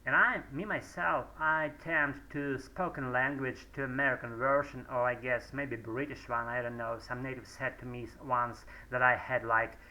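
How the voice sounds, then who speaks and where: A Russian-speaking man talking in English at home, his voice very bright, sharp and tense. He rambles in a middle pitch, stopping often on fillers and restarting his sentences. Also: steady tone, normal speed, hardly any pauses, low-quality recording. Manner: hesitant, many fillers and restarts. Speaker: English learner vlogger. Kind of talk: rambling monologue practicing spoken English. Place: home room, indoors.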